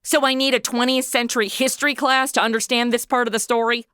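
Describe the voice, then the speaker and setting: Woman acting sassy young woman, high sharp voice, animated, sarcastic, impatient, slangy, comedy audio drama. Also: fast talker, clear, resonant tone, no echo, studio quality. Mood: bored, impatient.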